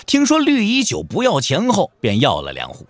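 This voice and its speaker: A male narrator tells a martial-arts tale with theatrical emphasis. His voice sits slightly high and moves widely in pitch, drawing out key endings, in a dry studio sound. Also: huge pitch swings, normal speed, dry recording. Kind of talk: dramatic scripted audiobook narration. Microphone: close studio microphone.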